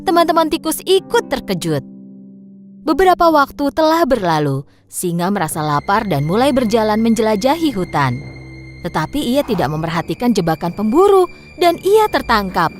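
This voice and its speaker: A woman narrating a scripted children's story in an expressive storyteller's manner. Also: a little high. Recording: music behind the voice.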